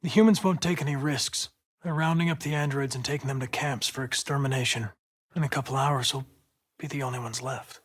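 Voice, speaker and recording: A man's voice: mid-range voice, steady tone, fairly quick, husky, lightly acted. An American man playing a polite, analytical young android detective in a video game, earnest and determined, with a precise, formal delivery. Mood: grave, subdued.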